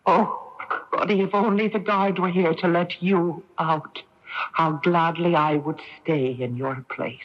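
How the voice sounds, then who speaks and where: A woman's voice: fairly low pitch, fairly even intonation, a little slow, lightly acted. An actress playing a devoted, anxious female cousin in a radio mystery drama, tender and pleading. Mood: tearful, pleading. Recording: dull, noisy old radio recording with clicks.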